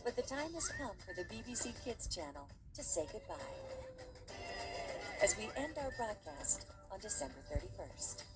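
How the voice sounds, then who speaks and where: A woman's voice: medium pitch, sing-song, normal speed, rough recording. A woman reading scripted promos as a children's television channel announcer, with music behind her. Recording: promo voice over loud music. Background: loud music.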